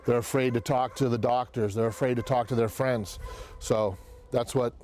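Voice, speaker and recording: A man's voice: medium pitch, fairly even intonation, normal speed, darkish tone. A middle-aged American man speaking candidly in an interview, in plain words and often repeating phrases. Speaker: interviewee, dog trainer and former police officer.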